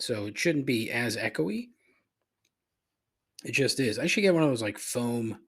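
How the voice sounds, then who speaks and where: A man's voice: medium pitch, fairly bright tone. Stuffy nasal guy hosting his podcast, rambly self-deprecating, cheap mic in a big echoey room. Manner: rambling, self-deprecating, many fillers and restarts. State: congested and a little nasal. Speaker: podcast host. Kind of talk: rambling solo podcast monologue. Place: cavernous echoey home room. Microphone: cheap desk microphone.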